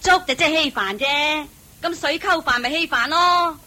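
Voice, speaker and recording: A woman's voice: high voice, normal speed, sharp tone. An actress playing a rude, impatient servant woman who scolds and dismisses people, in a radio play. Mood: snappish. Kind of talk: Buddhist radio drama acting.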